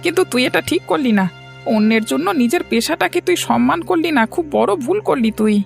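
A man's voice: very high-pitched, lively intonation, quick pace, creaky, soft background music, full character voice. A man voicing a humble, hardworking older brother who sells street snacks, earnest and plaintive, in a cartoon moral tale. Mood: hurt, resigned. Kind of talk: cartoon moral story voice acting.

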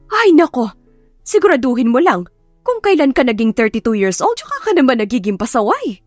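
A woman's voice: sits high, sing-song, darkish tone, no room sound, clean studio sound, soft background music. A woman voicing a stern middle-aged mother who nags and scolds her grown son. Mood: scolding. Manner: scolding, fast nagging.

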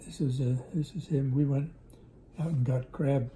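A man's voice: mid-range voice, steady tone, moderate pace, mellow, a little hiss or hum. An older man telling stories in a casual interview at his kitchen table, rambling and correcting himself as he goes, recorded on a camera set on the table. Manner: rambling, self-correcting storyteller. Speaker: interviewee recalling business in Russia. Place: home kitchen table, indoors. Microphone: camera on table in front.

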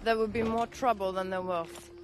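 A woman's voice: mid-range voice, steady tone, normal speed, noisy background, close to an everyday voice. An actress playing a tough, resolute Frenchwoman of the Resistance, with a French accent, in a video game cutscene. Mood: curt, cool.

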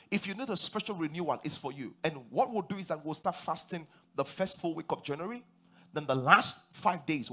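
Husky Nigerian pastor preaching from a stage. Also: male voice, on the high side. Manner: animated, rising to loud emphatic declarations. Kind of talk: sermon. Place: large church stage.

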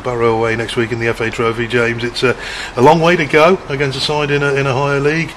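A man asks post-match questions quickly, tripping over his words and restarting them. His tone stays flat throughout. Background noise is clearly audible. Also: medium pitch. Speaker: club media interviewer.

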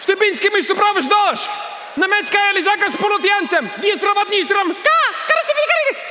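A man's voice: very high-pitched, animated, a little fast, voice pushed up. A man playing a frantic, greedy Russian tourist, shrieking and barking the same words over and over. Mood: frenzied. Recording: big hall reverb, stage show noise.

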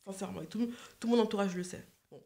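A woman's voice: mid-range voice, fast, gravelly, mellow. A young woman, a guest on a livestream, talking earnestly and insisting she is sincere, into a desk microphone indoors. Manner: earnest, insistent, repeats herself. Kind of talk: casual chat on a livestream. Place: indoor living room set.

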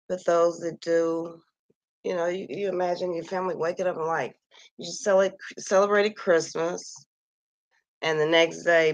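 Slow, gravelly-voiced woman losing her voice. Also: lowish voice, no room sound, poor audio. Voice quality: gravelly, slightly husky voice. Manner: slow, soft-spoken, sighing and musing aloud. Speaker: vlogger reading a missing persons list. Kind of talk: casual screen-share commentary. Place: at home near Kansas City.

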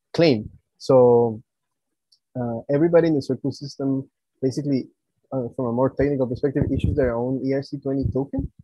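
Mellow man on a dull video call. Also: medium pitch, no echo. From Guatemala. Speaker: interview guest, project coordinator. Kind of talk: explanatory conversation. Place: small room indoors, video call.

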